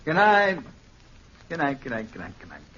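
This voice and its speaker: An actor playing a tipsy, cocky drinker with a morbid curiosity, loose and theatrical in an old-time radio horror drama. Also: male voice, on the high side, very animated, moderate pace, lo-fi sound, background noise. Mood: drunken bravado. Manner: loose, theatrical, swinging delivery.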